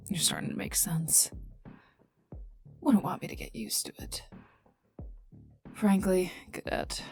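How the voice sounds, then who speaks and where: A woman playing a haughty, prickly poisoner agent in an ASMR roleplay, dry and sarcastic, often dropping near a whisper. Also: fairly low pitch. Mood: mocking, reluctant. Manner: dry, sarcastic, scornful, unhurried. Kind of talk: ASMR roleplay addressed to listener. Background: calm ambient game music.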